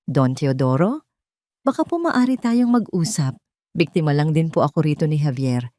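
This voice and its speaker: A woman's voice: deep voice, expressive, moderate pace, warm tone, no echo, clean studio sound. A woman voicing a flirtatious, scheming mistress in an audio story, coaxing and deferential. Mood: pleading.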